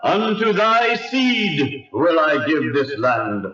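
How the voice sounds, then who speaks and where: Majestic deity, very slow, distant, echoing, commanding, loud music, biblical drama. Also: male voice, a little high, big pitch swings, highs cut off, heavy background noise. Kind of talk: radio drama acting.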